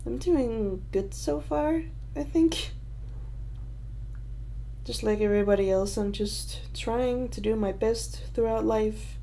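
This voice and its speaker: Young woman, Danish accent, livestream host; hesitant rambling chat, soft warm voice. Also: fairly high pitch, slow, vocal fry, a little hiss or hum. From Denmark. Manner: rambling, hesitant, many fillers and restarts. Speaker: livestream host answering questions.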